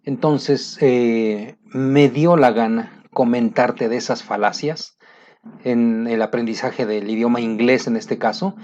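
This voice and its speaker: Middle-aged Latin American man from a teaching video, conversational, plenty of filler pauses, mellow tone, muffled lo-fi sound. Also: mid-range voice, steady tone. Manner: conversational, pausing often with fillers. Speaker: English teacher. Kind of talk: motivational video lesson. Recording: muffled lo-fi recording.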